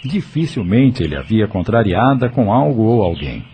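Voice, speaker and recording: A male narrator tells a scripted story in a Brazilian accent. His voice is low and mellow, with very animated intonation, as in a dramatic audio novel. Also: normal speed, a little hiss or hum. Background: soft music.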